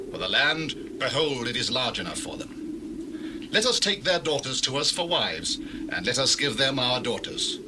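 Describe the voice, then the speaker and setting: An ancient city leader played by a man makes a persuasive case in a Bible drama. His mid-pitched voice rises and falls expressively over clear background noise. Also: natural voice. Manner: declamatory, persuasive. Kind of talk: dramatized scripture reading.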